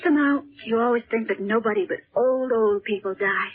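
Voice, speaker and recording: Excitable American girl, husky, tinny radio. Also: fairly high pitch, a little fast. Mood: earnest worry. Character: earnest, excitable young American girl, devoted sister. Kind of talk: 1930s radio serial acting. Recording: muffled, tinny 1930s radio recording.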